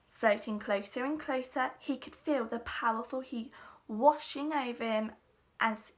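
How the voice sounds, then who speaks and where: A woman's voice: a little high, fairly bright tone. A woman teacher reading a children's storybook aloud expressively, with dramatic emphasis.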